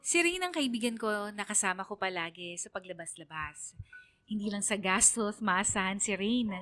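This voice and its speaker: Bright-voiced Filipino-accented woman narrating. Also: mid-range voice, animated, normal speed, faint music behind. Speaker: narrator of a radio letter story. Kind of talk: first-person storytelling narration.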